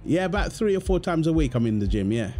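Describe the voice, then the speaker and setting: A man's voice: fairly high pitch, sing-song, fast, mellow. A young man acting out an ASMR boyfriend roleplay in a casual, conversational way. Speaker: audio roleplay performer. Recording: music behind the voice. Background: music.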